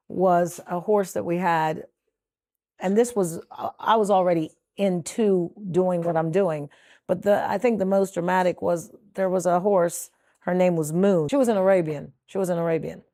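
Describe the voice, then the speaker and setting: Middle-aged woman from trinidad, sanctuary founder, low mellow voice, thoughtful w lots of ums. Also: no room sound, clean studio sound. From Trinidad and Tobago. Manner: earnest, reflective, with frequent fillers. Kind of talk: documentary interview.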